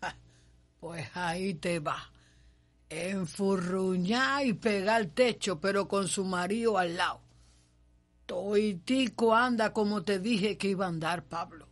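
High-pitched male, folksy Cuban dialect, slow delivery, teasing fatherly slave, radio drama. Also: fairly bright tone, heavily acted. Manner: rustic dialect, drawn-out delivery. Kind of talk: radio soap opera acting.

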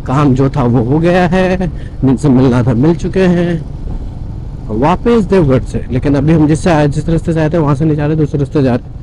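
A man's voice: on the high side, moderate pace, warm tone, poor audio. A young man from India, chatting casually while riding a motorcycle and thinking aloud about the road. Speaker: motovlogger. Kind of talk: casual ride commentary. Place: outdoors on a moving motorcycle on highways and town roads. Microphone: helmet or body-mounted action camera. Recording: road and traffic noise, outdoors.

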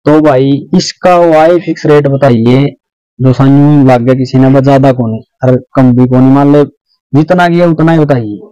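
A man's voice: medium pitch, moderate pace, dark tone, clear, resonant tone, no echo, muffled recording. A young man with a Haryanvi accent, hosting a casual outdoor interview in a village animal yard and repeating each answer back. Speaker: livestock video host.

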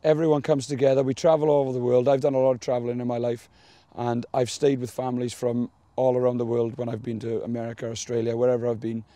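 A man's voice: medium pitch, monotone, quick pace, hardly any pauses, warm tone, dry recording. A man, a senior team rider, speaking in a heartfelt, rambling way in an interview.

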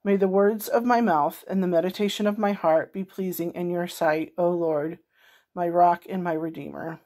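A woman's voice: on the low side, steady tone, moderate pace, a little mellow. A middle-aged woman reading a psalm aloud as a scripture reader, recorded on a phone at home. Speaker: scripture reader at a worship gathering. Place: indoor room at home. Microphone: phone held at arm's length.

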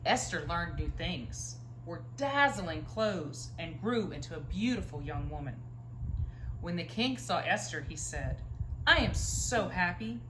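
A woman's voice: deep voice, lively intonation, measured pace, a bit bright. A woman in her thirties reads a children's Bible storybook aloud expressively, leaning on key words, recorded indoors a few feet from the camera. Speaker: story reader. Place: living room, indoors, by a stone fireplace. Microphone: camera a few feet away. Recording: roomy echo, faint room noise.

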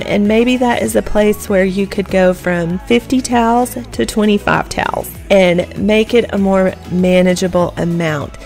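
A woman's voice: medium pitch, a little mellow. A woman, chatting through household tips as a home vlogger, encouraging and talking straight to her viewers. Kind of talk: casual voice-over tips.

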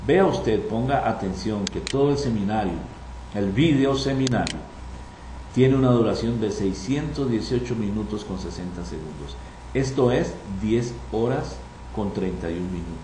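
Low, mellow-voiced man walking through a course. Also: moderate pace. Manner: self-correcting, emphatic listing. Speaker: compliance trainer. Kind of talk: screen-recorded course walkthrough. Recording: roomy with faint background noise.

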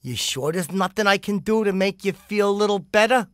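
A man's voice: sits high, fairly quick, a bit bright, no echo, studio quality. A man voicing a crude, horny, dim and boastful cartoon man, cocky and whiny.

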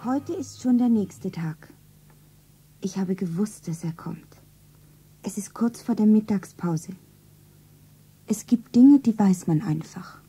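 An actress playing a slim, modest young shopgirl who dreamily narrates herself in a radio play, with a soft, breathy voice. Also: mid-range voice, slow pace, pauses often, faint music behind. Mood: dreamy.

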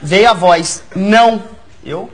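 A Brazilian man in his thirties with a stutter, telling a comic anecdote haltingly and repeating words as a talk show guest. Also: sits high. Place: large TV studio with audience. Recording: lo-fi TV studio tape, loud audience noise. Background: loud audience laughter.

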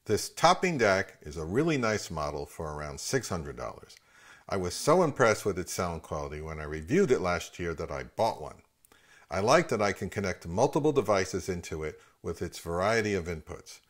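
A man in his fifties giving scripted commentary on products as the host of a review channel. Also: on the low side.

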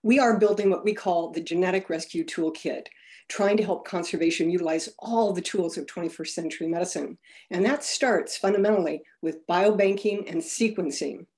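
A woman co-founder of a conservation group giving a measured, polished webinar talk. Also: lowish voice, a little mellow. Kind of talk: webinar presentation.

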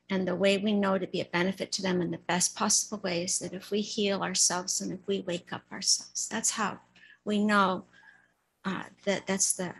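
A middle-aged woman with a soft voice giving an informal dharma talk in a meditation hall into a stand mic, halting and full of fillers. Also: medium pitch, gravelly, slightly rough. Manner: halting, with many fillers and restarts. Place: meditation hall shrine room, indoors. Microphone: stand mic in front of her.

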